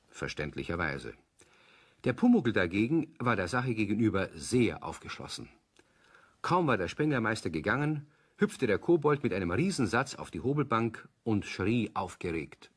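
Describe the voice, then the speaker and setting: A man narrating a scripted German children's radio play as its storyteller, with a husky voice. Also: fairly low pitch, sing-song, normal speed.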